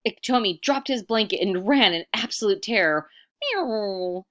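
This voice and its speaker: A woman reading a children's picture book aloud expressively, pausing for casual comments and questions. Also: medium pitch, lively intonation, pushed and bright. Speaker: storybook reader.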